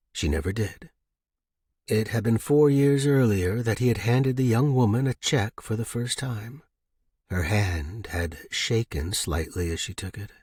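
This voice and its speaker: A man's voice: lowish voice, a little mellow, slightly rough, dry recording, clean studio sound. A man narrating a scripted story as an audiobook reader.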